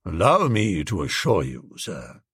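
A man acts an old English duke in a storybook reading. He is haughty and coldly polite, with formal, measured delivery and a close, dry sound. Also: medium pitch, big pitch swings, dry recording.

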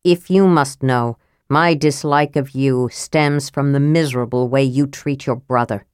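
A woman reading an audiobook, voicing an honorable young male knight who speaks quietly and gravely, in a measured way. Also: a bass voice, steady tone, no room sound, studio quality, pitched below the performer's own voice. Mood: quiet, reproachful. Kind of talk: audiobook character voice.

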